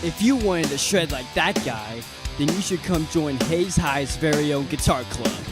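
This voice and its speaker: A man's voice: fairly high pitch, expressive, unhurried. A man reading a scripted radio promo for a school club. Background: loud guitar music.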